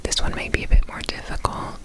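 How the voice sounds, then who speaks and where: A woman whispering an ASMR roleplay as an examiner, gentle and reassuring, repeating words softly in a breathy whisper. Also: a little fast. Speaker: ASMR performer playing an examiner.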